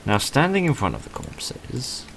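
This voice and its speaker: A man giving casual game commentary as a let's-player, in a measured, understated, mildly hedging manner. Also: medium pitch, very animated, vocal fry, slight background noise. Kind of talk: casual game playthrough commentary, reading text aloud and reviewing.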